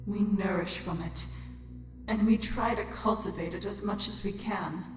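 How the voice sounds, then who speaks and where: A woman playing a menacing, bitter, weary changeling queen in an audio drama, slow and theatrical, often sinking toward a whisper. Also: medium pitch, lightly acted. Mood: proud, wistful. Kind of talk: audio drama acting of fan fiction. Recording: very echoey, long hall reverb. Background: music.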